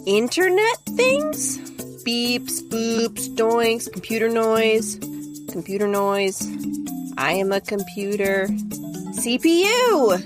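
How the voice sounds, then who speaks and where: A woman reading a show's closing credits and breaking into silly asides. Her somewhat high voice bounces around a lot. Music plays clearly behind her. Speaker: credits announcer.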